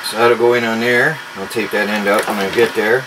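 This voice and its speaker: A man's voice: on the low side, fairly even intonation, fairly quick. A man in his forties giving a casual hands-on DIY vlog demonstration, talking half to himself in short phrases as he works. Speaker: DIY homestead vlogger. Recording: noisy amateur camera sound with clicks.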